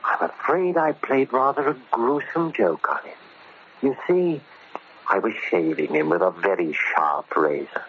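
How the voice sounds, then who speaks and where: An actor playing a vengeful, cunning ex-convict barber in 1940s radio drama, speaking with deliberate, menacing politeness. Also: male voice, fairly low pitch, close to an everyday voice. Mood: smug, cold. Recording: scratchy, narrow-band old radio recording.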